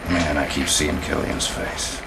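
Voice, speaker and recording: An actor playing a weary, doubting field officer in a video game cutscene, talking in a blunt, confiding, colloquial way. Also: male voice, rumbling low, steady tone, unhurried, heavily acted. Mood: troubled, blunt. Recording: distant mic, clearly noisy background.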